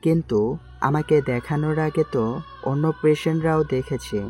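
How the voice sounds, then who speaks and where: A woman voicing a young man, a disguised prime minister, speaking softly and earnestly in an amateur audio drama. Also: sits low, steady tone, moderate pace, lightly acted. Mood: tender. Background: faint background music.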